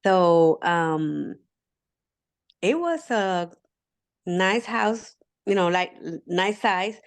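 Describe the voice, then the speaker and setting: Low-voiced Puerto Rican woman in her fifties. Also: animated, very slow. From Puerto Rico. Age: 50s. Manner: warm storyteller with many "um"s, laughing often. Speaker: guest. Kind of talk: casual interview talk.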